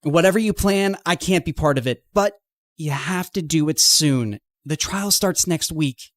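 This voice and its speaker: Woman voicing low-voiced, earnest teenage boy. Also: measured pace, no echo, studio quality. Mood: worried. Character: earnest, anxious, devoted teenage boy, tech-savvy friend. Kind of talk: animated story dialogue.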